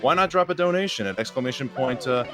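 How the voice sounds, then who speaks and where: A man's voice: mid-range voice, animated, fast talker, music in the background. A man commentating a live speedrun stream, joking and rambling in asides.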